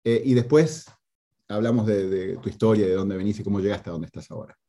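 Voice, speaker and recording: A man's voice: lowish voice, brisk, mellow. A man with a Latin American Spanish accent hosting a podcast in casual interview chat. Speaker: podcast host.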